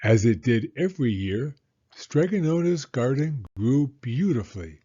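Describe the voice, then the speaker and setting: Unhurried man reading a children's story. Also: medium pitch, very animated, warm tone, breathy edge, dull audio. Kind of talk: scripted children's story narration.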